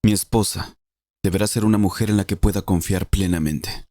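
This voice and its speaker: A man's voice: deep voice, fairly even intonation, moderate pace, dark tone, no room sound, studio quality. A man voicing a possessive, teasing, arrogant young nobleman in an audiobook drama. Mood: possessive, firm. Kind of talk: audiobook character dialogue.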